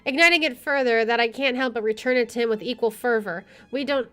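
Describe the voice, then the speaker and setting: Girl doing a lets play, highish voice, reads the story out and comments, music underneath. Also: fast, bright, forceful tone. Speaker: let's play streamer. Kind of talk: reading visual novel text aloud with casual commentary. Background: faint game music.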